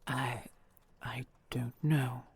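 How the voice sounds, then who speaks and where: Woman playing a breathy, gravelly ancient dragon queen. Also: extremely low pitch, steady tone, dry recording, heavily acted. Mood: anxious, longing. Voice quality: breathy, whispery. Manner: slow, hushed, formal archaic phrasing. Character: ancient possessive, tender dragon queen lover. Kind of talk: intimate romantic audio roleplay.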